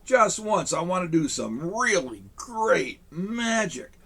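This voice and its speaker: A man's voice: high-pitched, slow, vocal fry, husky, voice pushed up, heavily acted. A man voicing a modest, earnest stage magician in a storybook read-aloud, lifting his voice for the lines. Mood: glum. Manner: raised, lively storybook delivery.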